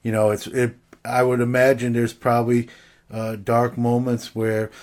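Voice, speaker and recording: A man's voice: mid-range voice, completely flat delivery, moderate pace, a little mellow. A man talking as a podcast guest, reflective and hesitant, his sentences full of fillers and restarts. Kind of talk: casual chat.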